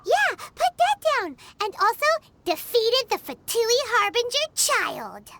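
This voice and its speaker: A woman's voice: extremely high pitch, huge pitch swings, slow, full character voice. A woman voicing a tiny, bubbly, sassy floating companion creature in a video game, blunt and teasing. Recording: game audio with loud music.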